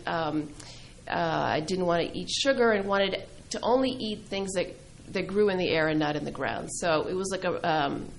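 A middle-aged woman who grew up speaking Greek, a poet answering interview questions thoughtfully, with many pauses and fillers. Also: on the low side, slight background noise. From New England. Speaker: poet and filmmaker guest.

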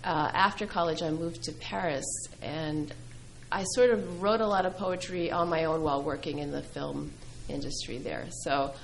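Low gravelly woman poet, halting speech. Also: slight background noise, rough recording. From New England. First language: Greek. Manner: thoughtful, many ums and uhs, pauses. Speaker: poet and filmmaker guest. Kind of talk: casual interview answers.

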